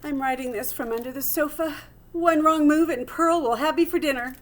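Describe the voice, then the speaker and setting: Woman voicing a plucky, dramatic little hamster, high-pitched. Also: normal speed, lightly acted. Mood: indignant, nervous. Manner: theatrical, earnest, expressive. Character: small plucky dramatic male hamster diarist. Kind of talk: storybook read-aloud in first person.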